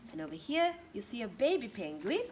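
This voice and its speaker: Female children's storyteller, 30s, higher-pitched and very animated, outdoor read-aloud. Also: fairly quick. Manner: expressive, addresses children with questions. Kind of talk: interactive picture-book read-aloud. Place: outdoors in a home garden. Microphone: camera a couple of metres away. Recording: outdoor ambient garden noise.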